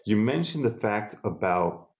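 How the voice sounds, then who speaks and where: A man's voice: lowish voice, very animated, a little slow, creaky, muffled recording. A middle-aged man from South America, chatting as a guest on a video-call interview, enthusiastic and rambling with restarts, from a home room with bookshelves. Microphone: earbud headset on a video call.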